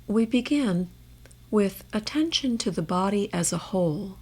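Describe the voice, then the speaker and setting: A woman leads a guided meditation at a slow, measured pace. Her medium-pitched voice has a creaky edge at times. She gives gentle instructions and leaves room between phrases. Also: animated. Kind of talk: guided body scan meditation.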